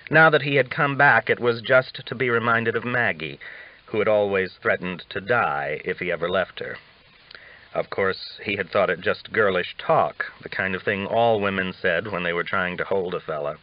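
A man with a fairly low voice narrates a novel for an audiobook. The recording sounds slightly dull and lo-fi.

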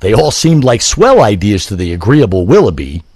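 A man narrating a scripted story in a measured, literary manner. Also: on the low side, very animated, a little mellow, slightly rough, dry recording.

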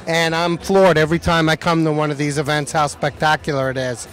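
A man's voice: fairly high pitch, flat delivery, fairly quick, a bit bright. A man at an outdoor charity event, speaking enthusiastically in a casual thank-you speech. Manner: enthusiastic, repeats "awesome". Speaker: law firm partner speaking at a charity event.

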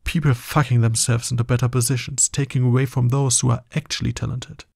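ASMR roleplay villain, played by a man: male, smug and teasing, breathy low-key delivery, very dry close sound. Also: flat delivery, a little mellow, no echo. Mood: scornful, intense. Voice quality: husky, breathy. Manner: slow, condescending, teasing. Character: smug, seductive, dominant male villain courting his hero nemesis.

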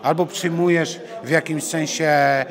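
A man's voice: fairly high pitch, fairly even intonation, a little slow. A man, a fighter giving a conversational interview in a blunt, challenging manner into a handheld microphone. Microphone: handheld reporter microphone. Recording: crowd chatter in the background.

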